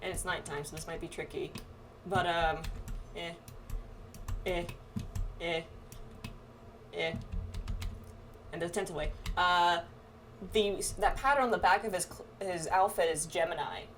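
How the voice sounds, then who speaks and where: A woman's voice: lowish voice, steady tone, measured pace, pauses often, a bit bright, slight background noise. A woman chatting casually through a game playthrough, reading quest text aloud and muttering asides to herself. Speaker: game streamer playing with friends. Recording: game music behind the voice. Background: game music.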